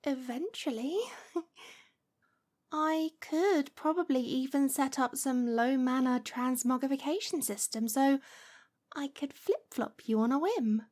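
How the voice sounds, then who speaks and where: Possessive lovesick witch, played by a woman, ASMR audio drama. High, slow delivery, coaxing and sweet with a controlling edge. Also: exaggerated voice. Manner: breathy whispers mixed with giggles, sighs and cooing.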